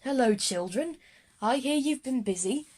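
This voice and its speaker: A woman's voice: sits high, animated, unhurried, darkish tone, slightly rough, heavily acted. A woman voicing a polite, prying male headmaster in an audio drama for children. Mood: polite, suspicious.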